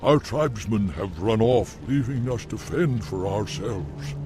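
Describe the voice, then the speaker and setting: A man's voice: lowish voice, expressive, measured pace, warm tone. An actor playing a worried male tribesman pleading for help in a game cutscene. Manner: pleading, earnest. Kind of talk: video game cutscene acting. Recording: game audio with music underneath.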